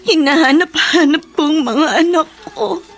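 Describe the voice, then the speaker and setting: Actress as high-voiced, tearful Filipino woman. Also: normal speed, close to an everyday voice. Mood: tearful, homesick. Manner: plaintive, respectful. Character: tearful, homesick woman longing for loved ones. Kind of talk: Filipino radio drama acting.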